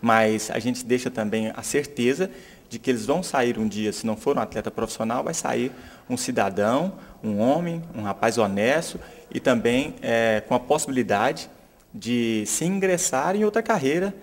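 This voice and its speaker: A man in his thirties earnestly explains his club's work into a handheld microphone. He speaks from an indoor club room at a level, mid pitch. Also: animated, normal speed. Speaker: youth football club official. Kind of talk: interview statement. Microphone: handheld interviewer mic.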